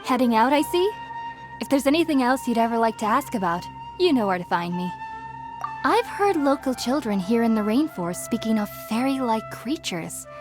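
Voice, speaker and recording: Lady playing a young researcher girl in a video game, highish gentle voice, earnest n thoughtful, some music behind. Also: close to an everyday voice. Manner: thoughtful, articulate, measured explaining.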